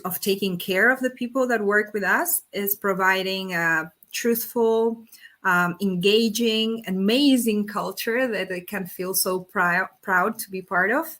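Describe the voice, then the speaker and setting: An Argentinian woman whose first language is Spanish answers interview questions in a bright, clear voice at an ordinary pitch. She talks earnestly and often restarts her sentences with fillers. She is heard over a video call. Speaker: interview guest, company executive. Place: Brazil.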